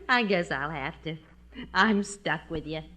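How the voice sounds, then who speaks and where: An actress playing a long-suffering but affectionate wife in a 1950s radio sitcom, wry and indulgent. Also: female voice, on the low side, huge pitch swings, slow pace, fairly bright tone, slight background noise, full character voice. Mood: amused, forgiving. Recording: old 1950s radio broadcast recording.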